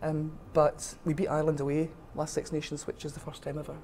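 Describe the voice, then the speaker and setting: A woman's voice: deep voice, little pitch movement, fast talker, dark tone, slight background noise. A middle-aged woman from the west coast of Scotland with a west of Scotland accent, speaking candidly and with firm opinions in an informal interview. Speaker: interviewee, rugby official.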